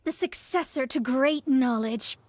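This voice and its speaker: A haughty young astrologer from a video game, with a high voice and a theatrical, self-assured air. She speaks in lofty, formal phrases like a proud master. Music plays behind her. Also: a little slow. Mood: proud, instructive. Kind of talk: video game character acting.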